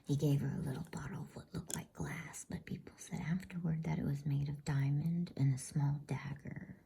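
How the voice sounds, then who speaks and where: A woman whispers a bedtime story in a soft, breathy, gentle ASMR style. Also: low-pitched, steady tone, moderate pace. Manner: gentle, hushed, soothing. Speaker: host and bedtime story reader.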